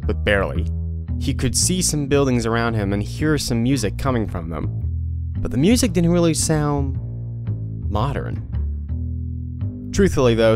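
A man narrating a scripted audio drama as storyteller. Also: mid-range voice.

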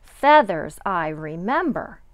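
A middle-aged woman, a kindergarten teacher, reading a picture book aloud to young children with deliberate, sing-song dramatic emphasis. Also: fairly low pitch, sing-song, no room sound.

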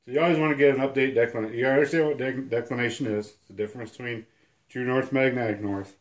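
A man in his thirties, hoarse and sniffling from a cold, giving a rambling, self-deprecating whiteboard lesson at home. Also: medium pitch, steady tone, quick pace. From Illinois. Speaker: outdoors channel host. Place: small room at home, indoors.

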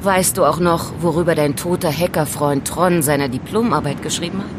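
Casting: actress as elegant young woman informant, very low voice, calm, knowing and teasing, for radio drama; noisy rumbling backdrop. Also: normal speed, lightly acted. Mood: knowing, pointed. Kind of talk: German audio drama acting.

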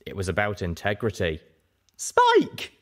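A man reads a story aloud as an audiobook narrator. His voice is on the low side and moves widely in pitch, giving the scripted prose a dramatic shape. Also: lively intonation, normal speed.

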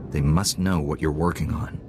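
An actor playing a calm, measured knightly hero in a video game. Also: male voice, rumbling low, noisy background. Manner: composed, measured.

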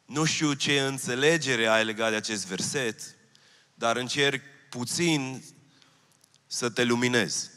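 A man's voice: medium pitch, pushed and bright. A man in his thirties preaching a sermon from a church stage with a handheld mic, earnest and repeating his key phrases. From Romania. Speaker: pastor. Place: church stage, large hall. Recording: long hall reverb.

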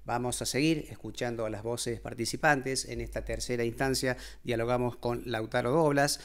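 A man in his fifties reads the news with an Argentine accent, his voice gravelly and steady. He speaks in a formal presenter's tone at a studio desk mic. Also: mid-range voice, normal speed. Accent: Argentine Spanish. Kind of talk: scripted news reading. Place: television studio. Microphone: desk microphone.

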